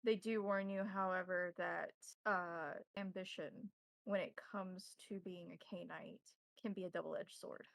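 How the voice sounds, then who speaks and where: A woman narrating a tabletop role-playing game as game master, in measured, formal wording. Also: on the low side, steady tone, creaky, no room sound.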